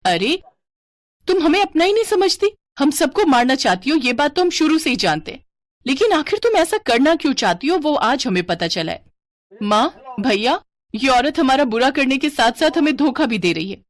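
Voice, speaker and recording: A woman's voice: sits high, expressive, moderate pace, dry recording, voice pushed up. A woman voicing an arrogant, scheming young sister-in-law in a melodramatic, accusing manner for a story. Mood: scornful, accusing.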